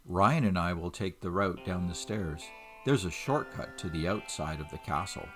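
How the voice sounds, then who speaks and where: Man acting a deep, calm adventurer dad. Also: normal speed, soft background music. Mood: steady. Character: calm, reassuring adventurer raccoon father, long missing. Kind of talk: audio drama acting.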